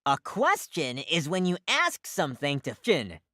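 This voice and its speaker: A woman's voice: sits low, wildly swooping pitch, measured pace, bright, forceful tone, no room sound, studio quality, full character voice. A woman voicing a friendly teacher in a scripted educational cartoon for children, with a sing-song, instructive delivery. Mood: cheerful, instructive.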